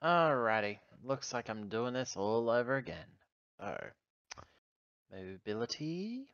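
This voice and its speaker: A man's voice: medium pitch, sing-song, slow pace, a bit bright, clean tone, highs cut off. A man chatting casually as a player in a tabletop roleplaying game.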